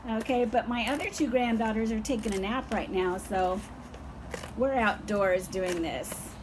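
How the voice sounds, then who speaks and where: A slow-paced older woman with a somewhat high voice leads a young child through a lesson, patient and encouraging. Her voice is hoarse and fading. Faint outdoor sounds are heard behind her. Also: background noise. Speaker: teacher.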